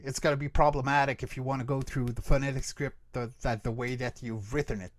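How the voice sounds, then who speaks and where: A man's voice: mid-range voice, fairly even intonation, fast talker. A man teaching in an online workshop, explaining emphatically and often checking that listeners follow. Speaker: guest creature voice instructor.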